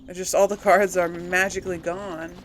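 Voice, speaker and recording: A woman's voice: fairly low pitch, little pitch movement, moderate pace, slight background noise, rough recording. A woman giving casual, chatty let's play commentary, thinking aloud and asking herself questions as she goes. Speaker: video game streamer and series host.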